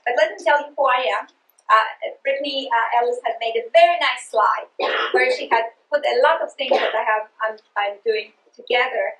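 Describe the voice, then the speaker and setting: A middle-aged woman with a Greek accent speaks to a room of teachers, earnest and passionate, often restarting her phrases. Her voice sits a little high and rings in an echoey meeting room. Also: normal speed, a bit bright. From Greece. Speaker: literacy presenter. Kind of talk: professional development talk to teachers.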